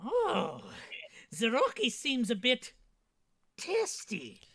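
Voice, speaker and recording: A woman playing a smug, taunting villain in a theatrical, drawn-out way at a comedy table read. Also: on the high side, wildly swooping pitch, fairly bright tone, breathy edge, heavily acted. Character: smug taunting villain, an old rival of a cop. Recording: video call.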